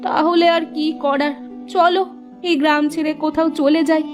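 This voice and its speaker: Cartoon village wife, acted by a woman: young, ailing, gentle and compliant, raised pitch, music bed. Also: flat delivery, normal speed, lightly acted. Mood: worried, resigned.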